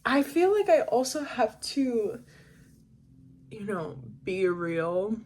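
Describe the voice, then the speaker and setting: A woman's voice: on the high side, big pitch swings, slow, a little mellow. A twenty-year-old woman from the Caribbean talking casually and candidly in a personal vlog, rambling and stressing her points. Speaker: vlogger.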